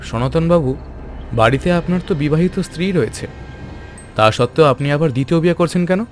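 A man's voice: fairly high pitch, expressive, a little fast, faint music behind, close to an everyday voice. A man voicing a stern, righteous social reformer in a cartoon, with firm, declamatory delivery. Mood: confronting. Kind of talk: dramatic cartoon voice acting.

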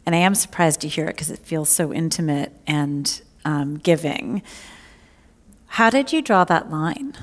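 A middle-aged woman with a notably low voice asks careful, measured questions of her guest. She is recorded on a lapel mic, and the sound carries the lingering echo of a large hall. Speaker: interviewer and journalist. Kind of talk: onstage interview. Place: library event stage, large hall. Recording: hall reverb from a large room.

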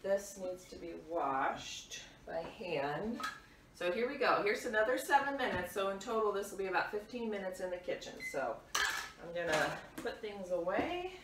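A woman's voice: medium pitch, lively intonation, moderate pace. A middle-aged woman chatting casually and off the cuff as she moves around a home kitchen doing chores, like a vlogger. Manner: unscripted, talks while moving about doing chores. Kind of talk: casual chat while tidying. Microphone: camera a few meters away. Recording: roomy, distant mic, clattering dish noise. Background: dishes clattering, clicks.

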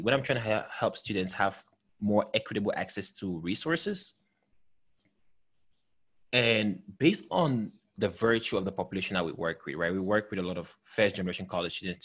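A man in his thirties speaks earnestly over a video call. His voice is husky and sits a little low, and he often stops and restarts his sentences as he pushes his points. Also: fairly bright tone. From Cameroon. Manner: earnest, emphatic, many restarts and repeated words. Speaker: host. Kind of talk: conversational video call chat. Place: home room with bookshelves. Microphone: headset with desk microphone.

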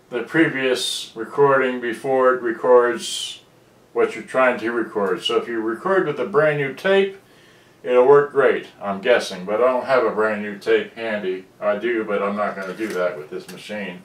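Rambling American man in a noisy, roomy recording. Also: mid-range voice. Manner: rambling, talking to himself as he works. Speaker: vintage cassette player repairer and seller. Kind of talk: casual unscripted product demonstration. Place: cluttered indoor workbench. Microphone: camera mic at a distance. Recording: roomy, distant camera mic, noisy, clicks.

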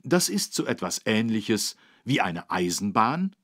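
Husky, low-voiced friendly policeman. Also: very animated, a little slow, a bit bright, full character voice. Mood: instructive. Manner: didactic, clearly phrased for small children. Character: friendly, helpful adult male policeman teaching children road safety. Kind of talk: scripted explanation for a children's game.

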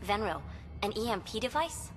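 An actress playing a determined female alien officer in a sci-fi game, with a brisk, businesslike delivery. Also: mid-range voice, expressive, background noise, natural voice. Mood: urgent, businesslike. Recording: echoing hall, music underneath.

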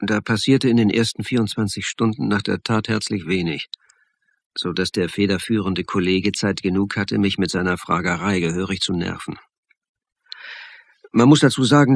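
A wry, irritable crime-drama protagonist with a low, raspy voice. His delivery is dry and sarcastic, in a close, dry radio studio sound. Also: steady tone, normal speed, no echo. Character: wry, irritable male police academy narrator and suspect. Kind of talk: first-person narration and dialogue in radio crime drama.

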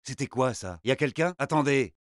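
A man's voice: medium pitch, animated, brisk, no room sound, clean studio sound, lightly acted. An actor playing a wary male sentry on patrol in a video game, watchful and muttering. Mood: suspicious. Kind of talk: video game guard dialogue.

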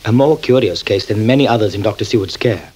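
Male narrator for a radio play: husky, measured, formal delivery. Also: lowish voice, darkish tone, rough recording. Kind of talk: scripted narration.

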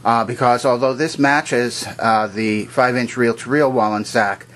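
Man calmly explaining a machine, mid-pitched voice. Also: unhurried. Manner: methodical, plainly instructional, pointing things out step by step. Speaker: presenter of vintage electronics demonstrations. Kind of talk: unscripted explanatory demonstration narration.

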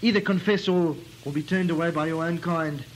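A man's voice: a little high, steady tone, fairly quick, slight background noise, close to an everyday voice. An actor playing a suspicious, portentous man from a lonely moorland house in a comic radio melodrama, declaiming ominously. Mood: accusing.

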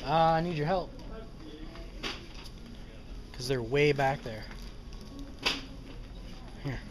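Casual male vlogger, thirties, slightly higher voice, laid-back and rambling, phone-camera sound with loud background noise. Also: drawn-out words. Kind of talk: casual vlog chat. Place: grocery store, then inside a car at night. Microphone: handheld camera at arm's length.

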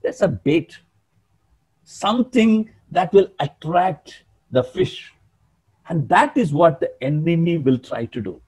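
A man in his sixties preaching a sermon in an emphatic, animated way, often calling out to his listeners, over a webcam in a small room. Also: a little high, slow pace, breathy edge. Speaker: pastor.

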